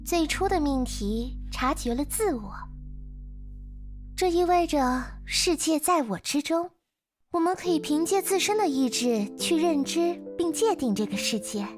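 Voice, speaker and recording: A woman's voice: high-pitched, lively intonation, a little slow. A woman voicing a playful, philosophical young girl in a visual novel, speaking in a teasing, theatrical, musing way. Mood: thoughtful. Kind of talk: visual novel character voice acting in Mandarin. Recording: loud music over the voice. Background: loud background music.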